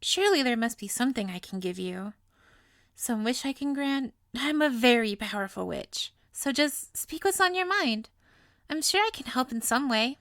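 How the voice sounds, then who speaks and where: A woman's voice: fairly high pitch, a bit bright, no room sound, studio quality. A woman playing a sweet, playful, possessively lovestruck young witch in an intimate audio roleplay, with coy, doting, theatrical delivery. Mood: pleading, then soothing, doting.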